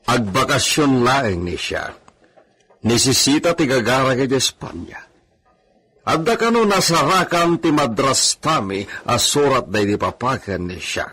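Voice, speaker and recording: An animated, commanding landowner of medium pitch in an Ilocano radio drama. with an Ilocano accent, giving orders to his men. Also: a little slow, slight background noise. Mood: authoritative.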